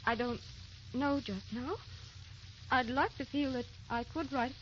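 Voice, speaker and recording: An actress playing a tender, anxious young wife in an old radio drama, with a theatrical, wistful, pleading delivery. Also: female voice, high-pitched, measured pace, a bit bright. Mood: troubled, confessional. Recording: dull, hissy old radio recording.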